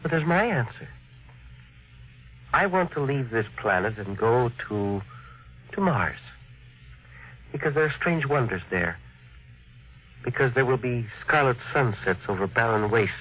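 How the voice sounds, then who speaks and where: An earnest male inventor, with a husky, mid-range voice. He is polite and a little hesitant, yet keeps pressing with a dreamer's conviction. It has the dull sound of an old radio drama. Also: mid-range voice, lively intonation, a little slow, long pauses. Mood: wistful, dreamy. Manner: hesitant but insistent, courteous. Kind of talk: 1950s radio drama acting. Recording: dull vintage radio recording.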